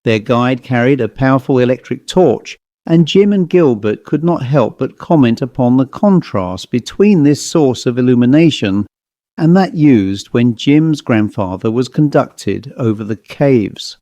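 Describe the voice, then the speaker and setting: A man narrating a scripted story as an audiobook reader, in a measured, deliberate manner. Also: medium pitch, expressive, warm tone, no room sound.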